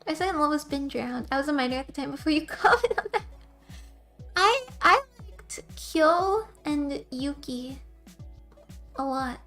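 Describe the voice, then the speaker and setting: High-voiced, giggly young woman streamer. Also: expressive, measured pace, faint music behind. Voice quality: soft, quiet voice. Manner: rambling and giggly. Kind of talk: casual chat with viewers.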